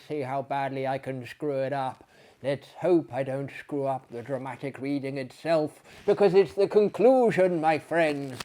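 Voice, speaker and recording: A man's voice: mid-range voice, a little mellow. Theatrical, self-deprecating man hosting. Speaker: host, dramatic reader. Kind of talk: casual chatty introduction.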